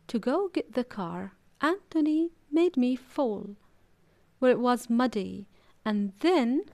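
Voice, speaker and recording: A woman narrating a children's storybook aloud in an expressive, deliberate, emphatic storytelling manner. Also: on the high side. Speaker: audiobook narrator.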